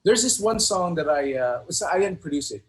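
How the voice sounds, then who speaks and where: A man talking casually as an interview guest, halting and earnest, often repeating himself. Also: on the high side, expressive. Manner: rambling, earnest, halting, many repeated phrases. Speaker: hip-hop artist being interviewed. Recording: noticeable background noise.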